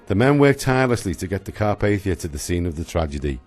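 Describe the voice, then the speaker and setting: A man's voice: deep voice, very animated, fast talker, darkish tone, soft background music. A man giving dramatic scripted narration for a historical radio play.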